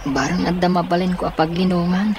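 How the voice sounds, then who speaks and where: A woman's voice: lowish voice, fairly even intonation, fairly quick, natural voice. A woman playing a kind, humble and helpful youth in an Ilocano radio drama, earnest and full of exclamations. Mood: weary, self-talk. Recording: noisy, with street and vehicle ambience.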